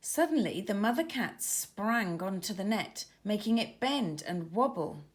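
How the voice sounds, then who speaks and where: A woman narrating a scripted children's story in a dramatic, expressive manner. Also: mid-range voice, unhurried. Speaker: author narrator.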